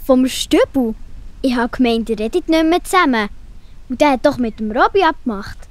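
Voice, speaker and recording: A woman's voice: sits high, animated, moderate pace, no echo. A woman voicing a caring, perky young schoolgirl with a Swiss German accent in a children's audio play. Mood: surprised.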